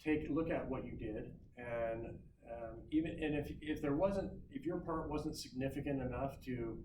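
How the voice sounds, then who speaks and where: A man's voice: mid-range voice, moderate pace. Man lecturing from far off in an echoey room. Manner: loose and conversational, many ums and restarts. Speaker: guest speaker, recruiter. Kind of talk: classroom talk giving career advice. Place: classroom. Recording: roomy, distant mic, noisy.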